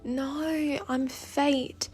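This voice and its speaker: A woman's voice: high-pitched, little pitch movement, very slow, a little mellow, faint music behind. A woman voicing a playful, pleading spirit in a mirror, with a British accent and a theatrical, whiny manner, in a story reading. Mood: insistent.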